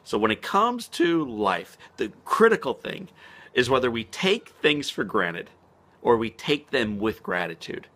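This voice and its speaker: A man speaking as a motivational speaker in an inspirational vlog. He talks earnestly and slows down to stress key words. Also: medium pitch, big pitch swings, vocal fry, fairly bright tone. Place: outdoors on a spring morning.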